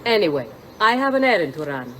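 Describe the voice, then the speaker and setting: A woman's voice: lowish voice, very animated, a little fast, a bit bright, a little hiss or hum. An actress playing a poised, wry middle-aged Italian mother in a video game, with an Italian accent. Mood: wry, brisk. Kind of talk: video game cutscene acting.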